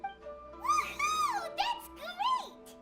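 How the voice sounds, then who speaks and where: A woman voicing a tiny, childlike, excitable fairy companion in an anime game, wide-eyed and exclamatory. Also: very high-pitched, extremely slow, recorded from a distance, over loud music, full character voice. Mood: elated.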